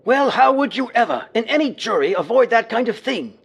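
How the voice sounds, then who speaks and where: High-voiced elderly judge. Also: male voice, expressive, vocal fry, breathy edge, faint music behind, heavily acted. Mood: formal, patient. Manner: formal, measured. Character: formal, unflappable elderly male trial judge. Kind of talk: courtroom dramatization.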